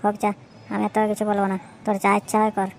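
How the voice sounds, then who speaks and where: Woman voicing a fast-talking cocky cartoon schoolboy. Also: mid-range voice, monotone, slight background noise, natural voice. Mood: bossy.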